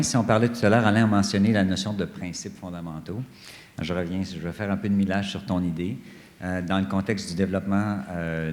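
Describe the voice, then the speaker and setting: A middle-aged man giving earnest, emphatic panel remarks, often pausing and hesitating. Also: on the low side, slight background noise. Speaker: panelist at a study day; director general of an association. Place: university conference room. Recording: echoey conference hall with long reverb.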